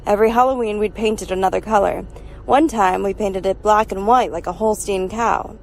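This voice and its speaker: A woman's voice: medium pitch, steady tone, normal speed, a little hiss or hum, lightly acted. A woman playing a folksy, homesick spaceship crew member in a science fiction radio drama, speaking casually. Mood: nostalgic.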